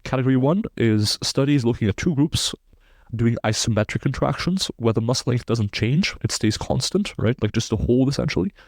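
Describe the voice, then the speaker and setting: A man's voice: mid-range voice, animated, a little fast, a little mellow, no echo. A man in his twenties, raised in Belgium, explaining sports science research in a precise, technical way as a podcast guest. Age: 20s.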